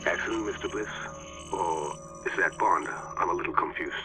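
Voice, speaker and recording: Low creepy bad guy, coolly taunting, game cutscene, music really loud. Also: moderate pace, poor audio. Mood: mocking menace. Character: menacing, coolly mocking male villain. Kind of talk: video game voice acting.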